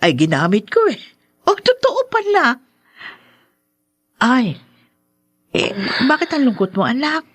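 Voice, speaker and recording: A woman's voice: high-pitched, wildly swooping pitch, slow, long pauses, no room sound, full character voice. A woman playing a poor, caring, fretful older mother in a melodramatic radio drama. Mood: chatty, concerned. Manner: fretful, lamenting, stammers when shocked.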